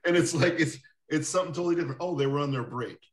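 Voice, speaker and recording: A man hosting a casual interview, rambling a little, with restarts and soft laughs. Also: a little high, rapid-fire. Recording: roomy echo, distant mic.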